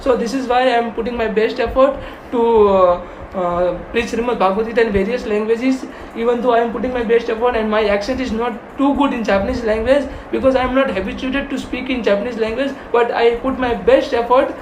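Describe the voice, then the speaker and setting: A spiritual teacher with an Indian accent giving a devotional lecture in an earnest, repetitive, halting way. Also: mid-range voice, steady tone. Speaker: spiritual teacher and video host. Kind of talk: devotional lecture with verse recitation. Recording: roomy echo, faint background noise.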